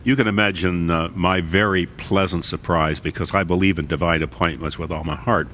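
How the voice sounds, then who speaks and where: Deep-voiced man hosting a faith talk show. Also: steady tone, a little fast, a little hiss or hum. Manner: earnest, warmly enthusiastic questioning. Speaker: television host.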